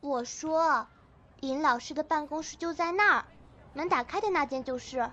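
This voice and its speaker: A woman's voice: sits high, moderate pace, sharp tone, highs cut off. A woman playing an eager, polite young student in an audio drama.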